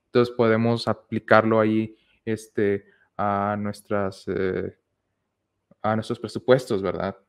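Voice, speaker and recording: A young man giving a casual technical talk at an online meetup, pausing often with fillers and restarts, recorded on a headset mic in a small room. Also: lowish voice, flat delivery, vocal fry, clean tone. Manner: hesitant, many fillers and restarts. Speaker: meetup speaker. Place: small room with whiteboard, indoors. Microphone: headset with boom mic.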